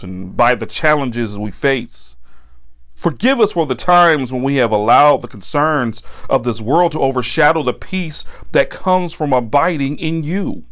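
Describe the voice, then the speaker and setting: A man preaching and praying as a pastor, earnest and deliberate in his phrasing. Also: mid-range voice. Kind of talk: sermon and spoken prayer.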